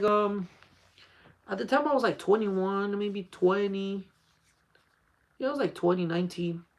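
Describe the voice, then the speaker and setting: A man in his forties hosting a casual faith talk for his channel, speaking in an earnest, repetitive, urging way. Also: on the high side. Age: 40s. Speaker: channel host.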